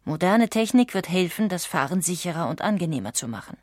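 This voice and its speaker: Low-voiced female German documentary narrator. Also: big pitch swings, fairly quick, a little mellow, no echo, studio quality. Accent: standard German. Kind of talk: scripted documentary audiobook narration.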